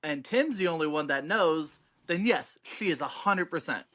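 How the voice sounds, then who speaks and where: A man co-hosting a casual podcast with a somewhat high, bright voice. He is earnest and walks through his reasoning step by step, thinking aloud. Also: expressive, moderate pace. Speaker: podcast co-host.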